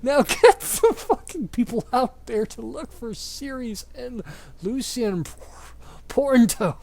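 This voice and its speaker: A man's voice: very high voice, animated, takes it slowly, vocal fry, a little mellow, voice pushed up, exaggerated voice. A man voicing a melodramatic, foul-mouthed gothic teenage girl in an exclamatory, overwrought way for a comic story reading. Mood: demanding, shouting.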